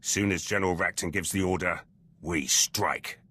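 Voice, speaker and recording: Deep, husky, gruff commando. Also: male voice, fairly even intonation, normal speed, sharp tone, exaggerated voice. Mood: gruff, eager. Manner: terse, clipped, blunt. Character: gruff, battle-hardened male military commando, loyal and brash. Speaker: soldier companion character in a video game. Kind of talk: scripted game dialogue, clipped sentences.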